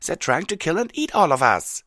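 A man's voice: on the high side, sing-song, brisk, bright, forceful tone, dry recording, clean studio sound, pitched above the performer's own voice, exaggerated voice. A man voicing an earnest, sensible female unicorn mage from a cartoon, speaking articulately. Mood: bewildered.

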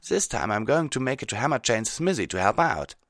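A man's voice: mid-range voice, big pitch swings, brisk, a bit bright, dry recording, studio quality, pitched above the performer's own voice. A man voicing an eager, earnest young male cartoon dragon in a boyish manner. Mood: determined.

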